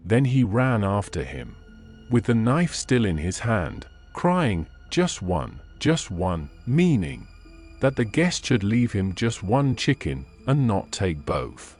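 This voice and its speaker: Lively male storybook narrator over music. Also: on the low side, slow pace. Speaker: audiobook narrator. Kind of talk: scripted fairy tale narration.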